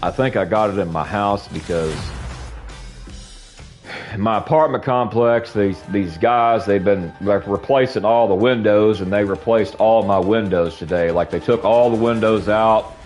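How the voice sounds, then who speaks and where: A man's voice: fairly low pitch, measured pace, darkish tone, faint music behind. A young man streaming while gaming, ranting casually to his chat. He rambles, repeats himself, says bro a lot, and sounds sniffly from allergies.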